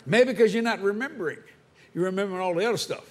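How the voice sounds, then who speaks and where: A man's voice: high-pitched, rapid-fire. A man preaching a sermon to a church congregation, firing rhetorical questions and calling for answers.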